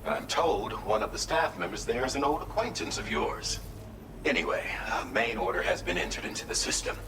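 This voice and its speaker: A man's voice: on the low side, sing-song, brisk, reverberant, far from the mic, noisy background. A man acting as a businesslike mission dispatcher in a sci-fi game, giving instructions. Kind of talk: scripted game briefing.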